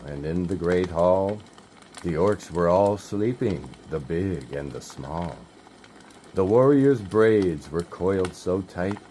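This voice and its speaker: A man reading a rhyming holiday story poem aloud in a measured storybook manner. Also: deep voice, darkish tone, slight background noise, low-quality recording. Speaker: narrator.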